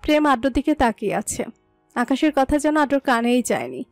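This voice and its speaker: A woman narrates a scripted love story in a high-pitched voice. The recording is dry, with soft music underneath. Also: very animated, a little fast, vocal fry, dry recording.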